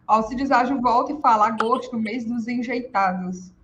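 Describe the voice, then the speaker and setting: A woman's voice: a little high, moderate pace, fairly bright tone, muffled recording, slight background noise. A Brazilian woman moderating a live stream, reading viewer comments aloud with playful asides. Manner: playful, laughing asides, hesitant restarts.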